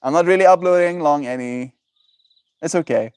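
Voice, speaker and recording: Mellow-voiced laid-back male streamer. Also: fairly high pitch, normal speed, clear, resonant tone, dry recording. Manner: laid-back, encouraging, says "bro" a lot. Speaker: livestreamer. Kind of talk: casual livestream chat with viewers.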